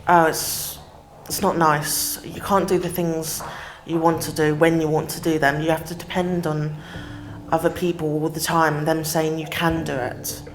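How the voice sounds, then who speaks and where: A woman's voice: low voice, little pitch movement, a little slow, faint music behind. A woman giving plain unscripted testimony in an interview. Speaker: interviewee, former detained patient.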